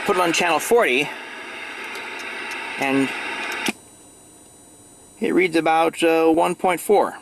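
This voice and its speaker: A man giving a casual, step-by-step hands-on demonstration, speaking hesitantly and haltingly. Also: on the high side, vocal fry, noisy background. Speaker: hobbyist showing a radio install. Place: in or at a vehicle.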